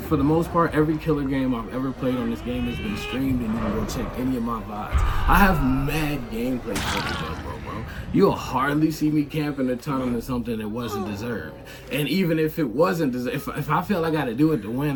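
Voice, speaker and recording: A man streamer ranting in loud, emphatic, profane commentary. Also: mid-range voice. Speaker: game streamer.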